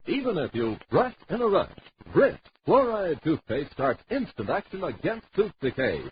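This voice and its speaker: A man announcing a vintage commercial in a brisk, punchy salesman style, on a muffled, noisy old broadcast recording. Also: fairly high pitch, sing-song. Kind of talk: vintage toothpaste advertisement read.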